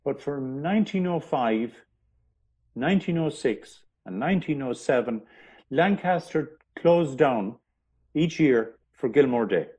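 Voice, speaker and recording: Slow, hesitant male historian. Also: mid-range voice, animated, mellow, slightly rough, dull audio. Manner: hesitant, many ums and restarts. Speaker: historian guest. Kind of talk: interview, historical storytelling.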